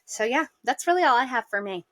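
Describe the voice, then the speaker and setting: A woman's voice: fairly high pitch, animated, fast, a bit bright, dry recording, clean studio sound. A woman co-hosting a podcast in casual chat, rambling a little with fillers as she talks. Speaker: podcast co-host.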